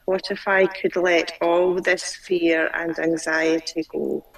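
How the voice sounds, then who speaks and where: A woman client who stutters, slowly repeating phrases after her coach over a video call. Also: on the low side, flat delivery, gravelly, distant mic, low-quality recording.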